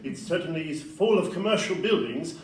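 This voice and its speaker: A distant, echoing live recording of a man acting a Victorian gentleman in an audio play. His voice is notably high for a man, and he speaks frankly and properly, with a wary edge. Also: animated, brisk, lightly acted. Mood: dubious. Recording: roomy, distant live pickup.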